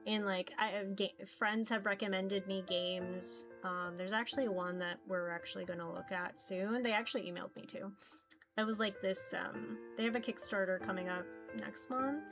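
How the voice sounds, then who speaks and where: A woman livestreaming visual novels, chatting casually in a rambling, halting way. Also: medium pitch, fairly even intonation. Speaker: streamer playing visual novels. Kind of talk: casual livestream chat, reading game text aloud. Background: background music.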